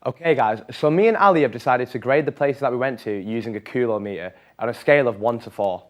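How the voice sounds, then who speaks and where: A young man giving his honest ratings of places in a relaxed, hesitant chat. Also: mid-range voice, fast talker. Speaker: student reporter. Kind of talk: casual presenting.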